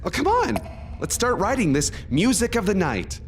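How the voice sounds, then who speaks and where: A man's voice: sits high, huge pitch swings, moderate pace, a little hiss or hum. A man playing an eager, businesslike young opera heroine with an earnest, theatrical delivery in an audio comedy sketch. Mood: brisk.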